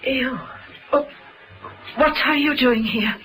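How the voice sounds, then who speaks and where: Jittery wife in an old radio play, stammery and evasive, higher tense voice. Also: female voice, takes it slowly, a bit bright, faint music behind. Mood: nervous, evasive. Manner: flustered, stammering. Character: nervous, evasive wealthy wife, adult woman. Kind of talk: vintage radio drama acting.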